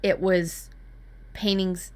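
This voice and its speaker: A woman's voice: lowish voice, level tone, drawn-out words. A woman co-hosting a workshop in casual, informal chat with a blunt way of talking. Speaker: workshop co-host.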